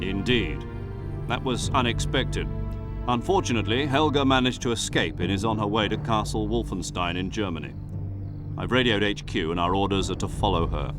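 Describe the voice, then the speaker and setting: An actor playing a brave, composed wartime agent and pilot, with an understated manner, in a video game cutscene. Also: male voice, on the low side, normal speed, music in the background, close to an everyday voice. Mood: dry, composed.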